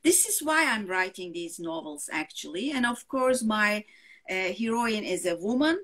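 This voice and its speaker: A woman writer with a Turkish accent, speaking English as a second language in an interview, often pausing with fillers to find words. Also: medium pitch, lively intonation, a bit bright. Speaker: novelist guest. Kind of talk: interview conversation.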